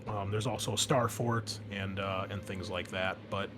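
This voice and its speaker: A man's voice: on the low side, steady tone, moderate pace. A man speaking as game master, explaining a tabletop roleplaying scenario in a conversational, improvised way. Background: background music.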